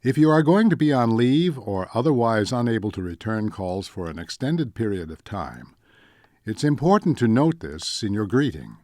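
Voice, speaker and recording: A man reading scripted instructional narration in a measured, deliberate way, like a training presenter. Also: lowish voice, big pitch swings, a little mellow, dry recording, clean studio sound. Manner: measured, deliberate, enumerating points.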